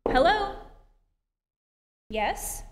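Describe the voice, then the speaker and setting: A woman acts a cagey, coaxing secretary from an old radio crime play. Her high voice swings animatedly, recorded in a hall with faint noise. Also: sing-song, very slow, full character voice. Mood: evasive. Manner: evasive, coaxing. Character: cagey, scheming secretary in league with a crook. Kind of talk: 1930s radio drama acting.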